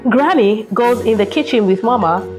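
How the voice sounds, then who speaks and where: A woman hosting a children's read-aloud, playful and chatty, speaking straight to her young listeners. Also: a little high. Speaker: children's storytime host and reader.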